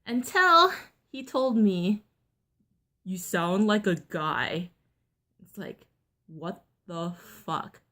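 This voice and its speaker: A young woman vlogger with a deeper voice than most women, telling a personal story in a candid, blunt, chatty way with lots of fillers. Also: animated, very slow, long pauses, bright, forceful tone. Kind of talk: casual storytime vlog.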